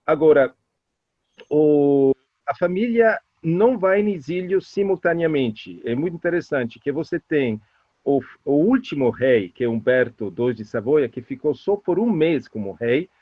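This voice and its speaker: A middle-aged man from Italy speaking with an Italian accent as a guest expert, telling personal stories quickly with many hesitations and restarts. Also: fairly high pitch, dry recording. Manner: fast, animated talker with many hesitations and restarts. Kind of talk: interview answers, personal storytelling. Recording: muffled lo-fi audio.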